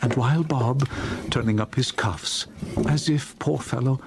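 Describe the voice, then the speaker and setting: A man narrating a dramatized classic story as an audiobook, in a theatrical, expressive storytelling manner. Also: medium pitch, measured pace, background noise.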